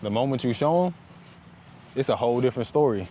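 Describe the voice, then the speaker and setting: A man's voice: mid-range voice, expressive, fast talker, dark tone. A man talking in a motivational, conversational vlog style, emphatic and building points through repeated phrases. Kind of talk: motivational conversational talk while walking. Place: outdoors, walking up a paved hill. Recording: noisy outdoor recording with traffic.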